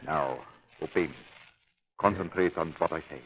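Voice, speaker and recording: Low menacing mastermind, slow commanding hypnotist orders, old muffled radio drama. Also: male voice. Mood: menacing, hypnotic. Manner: commanding, deliberate. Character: cold, domineering villain hypnotist giving orders. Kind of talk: 1930s radio acting.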